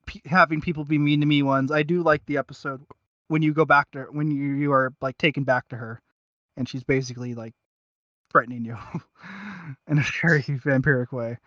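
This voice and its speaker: A man hosting a casual review chat, talking in a halting, rambling and self-deprecating way. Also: on the high side, a bit bright, no echo, lo-fi sound. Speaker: podcast host.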